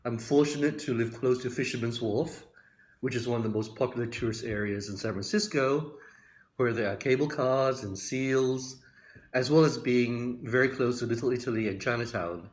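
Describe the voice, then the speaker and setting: An older man from Hong Kong and Australia giving a prepared, measured explanatory talk to the camera as a community organizer, recorded in a room at home. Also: medium pitch. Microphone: phone or webcam at arm's length.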